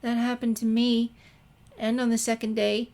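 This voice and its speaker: A gentle, timid teenage girl in a storybook, played by a woman narrator. She speaks shyly in a high, girlish voice. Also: flat delivery, normal speed, close to an everyday voice. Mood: sheepish. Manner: timid, confessional.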